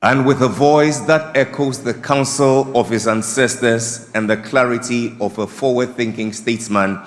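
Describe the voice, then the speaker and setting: A male master of ceremonies giving a slow, grand, formal introduction. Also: medium pitch, steady tone. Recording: echoey hall from far off, crowd and music behind.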